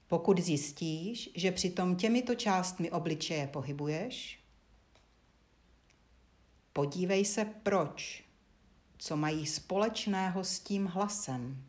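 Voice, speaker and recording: A woman with a fairly low voice leads a guided movement lesson. She speaks as an instructor, giving short directions and leaving frequent pauses between them. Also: animated, darkish tone. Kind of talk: guided Feldenkrais body-awareness lesson, spoken instructions.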